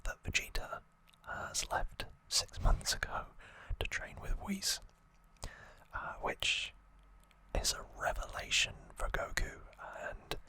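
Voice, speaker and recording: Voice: slow, bright, forceful tone, poor audio. An ASMR host whispering an unscripted story recap in a hesitant, slightly wry way.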